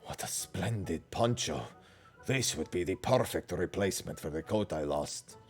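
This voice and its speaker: A man acts out a scaly male tradesman from a fantasy game, proud and courteous though down on his luck. The voice is dropped deep and hushed, with formal, rueful phrasing over music. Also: animated, moderate pace. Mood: pleased, courteous.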